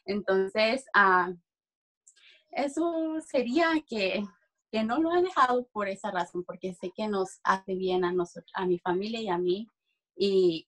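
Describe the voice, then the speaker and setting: A young adult woman from Mexico with a Mexican accent, giving a personal testimony in conversation on a video call, halting as she searches for words. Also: medium pitch. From Durango, Mexico. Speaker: interview guest giving a testimonial. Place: small room at home, video call.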